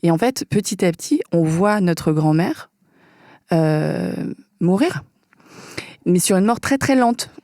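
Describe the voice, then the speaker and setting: Mellow-voiced woman, hesitant podcast guest. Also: fairly low pitch, animated, takes it slowly, pauses often, no echo, clean studio sound. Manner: hesitant, searching for words with frequent fillers. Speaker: guest filmmaker. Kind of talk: casual conversation.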